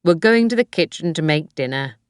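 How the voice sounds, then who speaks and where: A woman voicing a calm husband who speaks in a soft, steady, reassuring way. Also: low voice, a little fast, clean tone, dry recording, clean studio sound.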